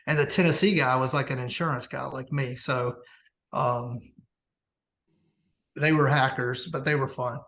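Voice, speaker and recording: Male podcast guest, average pitch, informal anecdote-telling. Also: steady tone, a little slow, long pauses, a bit bright. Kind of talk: casual chat, storytelling.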